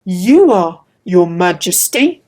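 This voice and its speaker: A woman voicing a magic mirror in a storybook, speaking lower and gravely. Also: very animated, slow, a little mellow, exaggerated voice. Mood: solemn.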